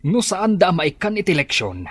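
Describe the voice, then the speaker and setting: A man's voice: high-pitched, big pitch swings, moderate pace, fairly bright tone, no echo, heavily acted. A middle-aged man with an Ilocano accent plays a strict, scolding farmer father in a radio drama. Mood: angry. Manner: scolding, gruff. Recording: faint music behind.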